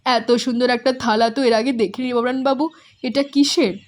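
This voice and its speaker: An actress voicing a hospitable, warm-hearted village mother in a cartoon, speaking in a welcoming, earnest way. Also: on the high side, steady tone, moderate pace, close to an everyday voice. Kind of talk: cartoon voice acting.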